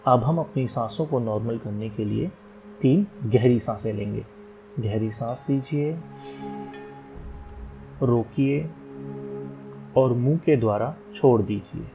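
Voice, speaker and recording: A man leading a guided relaxation meditation as an instructor, giving calm, unhurried instructions with long pauses. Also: medium pitch, creaky, low-quality recording. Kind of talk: guided yoga nidra meditation, slow soothing instructions. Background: meditation music.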